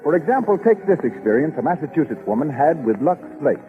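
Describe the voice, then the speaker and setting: A man's voice: a little high, sing-song, fast talker, soft background music. Tinny 1930s American radio announcer, male.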